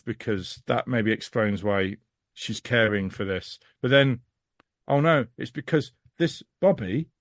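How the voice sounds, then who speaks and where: A man talks casually as a podcast host reviewing something, in a mid-pitched voice with very little room sound. He is emphatic and exasperated, telling it in an animated, storytelling way. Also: dry recording, studio quality.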